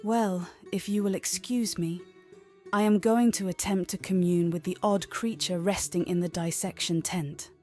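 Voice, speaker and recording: Lady doing an eager occultist woman, lowish dark voice, polite, audio drama. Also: fairly even intonation, normal speed, soft background music, natural voice. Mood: ecstatic.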